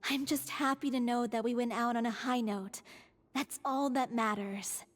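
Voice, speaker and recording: A woman's voice: fairly high pitch, fairly even intonation, normal speed, natural voice. A woman voicing an ailing, modest young actress in a video game, earnest and wry about her bad luck. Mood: grateful resignation.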